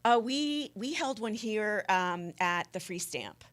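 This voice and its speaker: A woman speaking as an organizer in an unscripted radio interview, explaining earnestly and haltingly. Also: medium pitch, animated, fairly bright tone. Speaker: activist organizer, interview guest.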